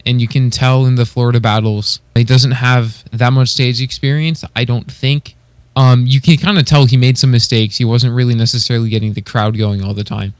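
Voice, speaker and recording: A man's voice: medium pitch, little pitch movement, fairly quick, clear, resonant tone, no room sound. A man co-hosting a podcast, chatting casually as a hobbyist with a somewhat tentative manner. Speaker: podcast co-host. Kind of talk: casual chat, hobbyist commentary.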